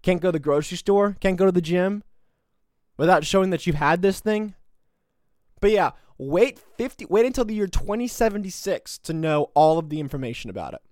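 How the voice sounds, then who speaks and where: A young man in his twenties rants through his solo podcast, sarcastic and combative, often rambling. His voice sits a bit high for a man. The recording is dry and close, with no room sound. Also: expressive, brisk, darkish tone, no echo. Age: 20s. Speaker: podcast host. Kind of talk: opinionated solo commentary.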